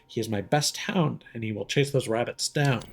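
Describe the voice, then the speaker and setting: A man's voice: medium pitch, normal speed, creaky, natural voice. A man reading the written musings of a cruel masked villain in a dramatic manner, over background noise. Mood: brooding menace. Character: cruel masked villain with lost memory, read from a note.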